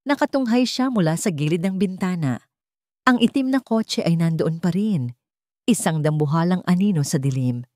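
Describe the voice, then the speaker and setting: A woman narrating a scripted audio drama in a measured, suspenseful storytelling manner. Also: lowish voice, lively intonation, warm tone, no room sound, clean studio sound.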